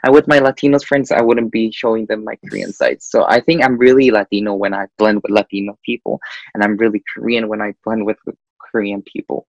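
A man's voice: on the low side, steady tone, fairly quick, vocal fry, darkish tone, dry recording, highs cut off. A young man from Guatemala, a guest in a casual interview, who talks hesitantly with frequent "eh" fillers. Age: young adult.

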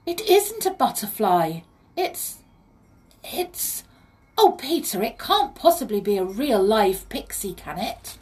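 A woman voicing a kind, excitable young girl who exclaims earnestly in a children's story. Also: mid-range voice, slow, voice pushed up. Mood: excited wonder.

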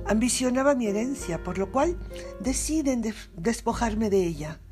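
A woman's voice: medium pitch, a little mellow, lightly acted. A woman reading as a noble-born interpreter. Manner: measured first-person reading.

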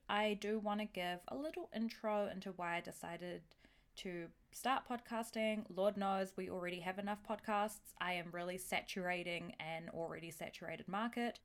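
A young woman hosting a podcast solo, talking in a casual, chatty, self-deprecating way full of informal slang. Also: lowish voice. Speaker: podcast host.

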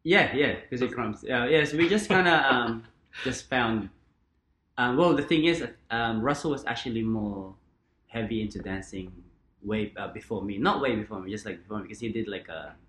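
A young man who grew up in the Philippines, a dancer chatting as a podcast guest, relaxed and rambling with lots of fillers. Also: medium pitch, normal speed. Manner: relaxed, rambling, many fillers and quoted asides. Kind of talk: casual interview chat. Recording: roomy echo.

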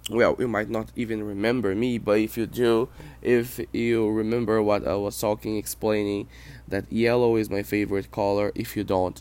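A Brazilian man who speaks English as a second language, with a Brazilian Portuguese accent, hosting a personal podcast in a reflective, halting way. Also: lowish voice, a little mellow. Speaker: podcast host.

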